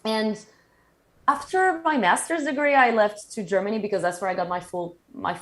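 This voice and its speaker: A woman in her thirties from Morocco, a guest chatting casually in an interview, talking lively and laughing often. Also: mid-range voice, moderate pace.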